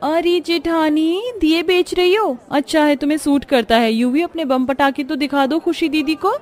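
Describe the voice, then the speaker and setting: Woman playing a haughty, high-pitched rich sister-in-law. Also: normal speed, darkish tone, close to an everyday voice. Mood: mocking. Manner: mocking, sneering, boastful. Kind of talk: Hindi animated family melodrama.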